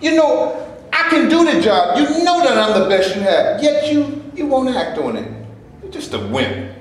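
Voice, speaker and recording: A man's voice: extremely high pitch, very animated, a little slow, heavily acted. A man playing an indignant, sarcastic, defiant movie actor in a staged reading, with a mocking, exclamatory delivery. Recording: echoey live room, distant camera, noisy.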